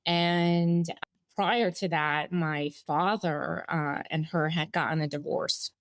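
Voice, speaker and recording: A woman's voice: low voice, steady tone, slow, dry recording, clean studio sound. A woman in her forties, born in Bulgaria and raised in the United States, telling her life story as a guest in a candid, reflective way. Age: 40s. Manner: reflective, candid, with small laughs and restarts. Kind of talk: personal storytelling interview.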